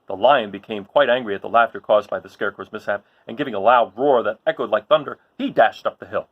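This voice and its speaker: A man reading a children's story aloud in an expressive style, as the host of a read-along. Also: on the low side, quick pace, phone-quality sound.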